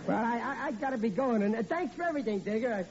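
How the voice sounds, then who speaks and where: An actor playing a blustering, excitable family man who stammers when flustered, in 1940s radio acting, on an old lo-fi recording with faint hiss. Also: extremely high pitch, fast talker, some chatter behind. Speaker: lead character in a radio comedy.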